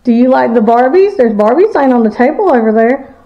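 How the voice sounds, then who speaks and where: High-voiced woman coaxing spirits. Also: expressive, lo-fi sound. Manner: coaxing, slow questions to spirits. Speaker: paranormal explorer host. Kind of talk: casual ghost-hunting chat. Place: abandoned school, indoors.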